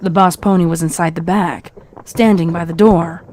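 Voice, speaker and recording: A woman with a fairly low voice narrates a story. Her reading is measured and dramatic, clearly scripted. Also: steady tone, noisy background.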